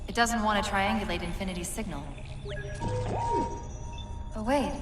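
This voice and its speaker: A woman voicing a brisk, loyal female AI companion in a video game cutscene, speaking in clipped lines that sometimes drop to a hush. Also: medium pitch, steady tone, over loud music, natural voice. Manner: brisk and clipped, drops to hushed tones.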